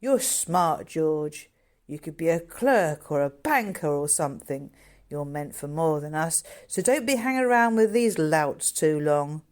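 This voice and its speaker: A woman reads as a gruff young zookeeper in a storybook. He talks bluntly and colloquially, admonishing like someone who means well. His scolding has a kindly core. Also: deep voice, animated, measured pace, darkish tone. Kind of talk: character dialogue in a novel reading.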